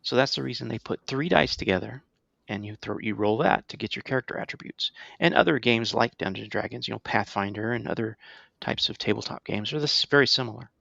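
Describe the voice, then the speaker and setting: A man's voice: lowish voice, brisk, creaky, sharp tone, no room sound, dull audio. A middle-aged man co-hosting a podcast, explaining things in casual chat and often checking in with the listener. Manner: conversational, checks in with "right?". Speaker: podcast co-host.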